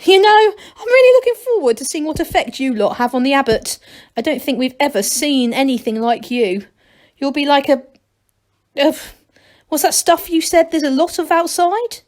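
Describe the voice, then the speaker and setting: Woman as a knowing old gnome, high-pitched. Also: expressive, normal speed, darkish tone. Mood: amused. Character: knowing, amused, sheepish old male gnome guide.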